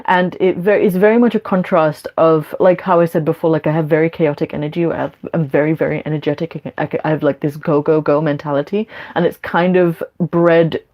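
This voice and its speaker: A woman's voice: low-pitched, fairly even intonation, brisk, hardly any pauses, darkish tone. A young woman live streaming, talking candidly and rambling with many fillers and restarts.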